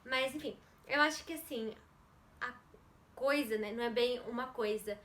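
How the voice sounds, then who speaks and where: A woman's voice: fairly high pitch, normal speed, fairly bright tone. Chatty young Brazilian woman vlogger. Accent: Brazilian Portuguese. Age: young adult. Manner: chatty, self-correcting, laughs often. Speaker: YouTuber. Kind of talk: casual Q&A vlog.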